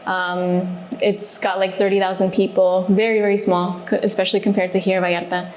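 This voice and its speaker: A woman's voice: mid-range voice, flat delivery, darkish tone, a little hiss or hum. Thoughtful woman in echoey room. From Mexico, raised in Alaska. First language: Spanish. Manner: thoughtful, pausing with fillers. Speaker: guest, reservations manager. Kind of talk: casual interview answers. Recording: echoey room, faint ambience.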